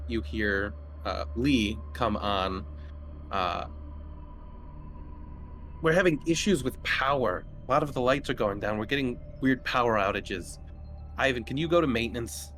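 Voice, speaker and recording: A man running a tabletop horror game, narrating casually and haltingly as he feels out each description. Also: mid-range voice, fairly bright tone, slight background noise. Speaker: game master.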